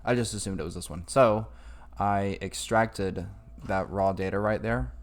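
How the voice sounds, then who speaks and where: A man talking through a casual, improvised software tutorial in an informal way. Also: lowish voice, unhurried. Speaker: tutorial maker. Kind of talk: casual screen-recorded tutorial.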